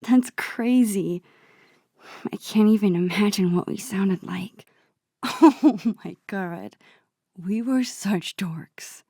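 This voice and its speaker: A gentle, nerdy teenage girl in naturalistic video game dialogue. She speaks at a slow, easy pace with a soft, breathy, mid-pitched voice. Her teasing is wry and a little awkward, and the sound is close and dry. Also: dry recording. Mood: amused, nostalgic.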